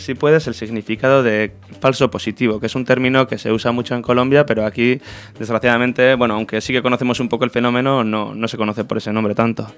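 A man's voice: medium pitch, clean tone. A man with an accent from Spain, hosting an informal community radio interview. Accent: Spanish, from Spain.

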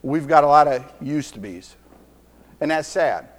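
A middle-aged man preaching a sermon in a church, earnest, often repeating phrases and swinging between soft and emphatic lines. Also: a little high, animated, measured pace.

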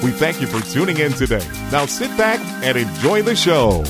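A man's voice: mid-range voice, big pitch swings, moderate pace. A man announcing a scripted podcast introduction. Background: hip hop music.

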